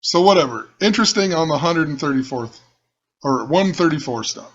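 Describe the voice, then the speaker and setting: A man with a rough voice, rambling and musing as he talks through his own video channel. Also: a little high, expressive, brisk, fairly bright tone, muffled recording. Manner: musing, rambling, repeats himself. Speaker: channel host. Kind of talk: casual screen-share commentary.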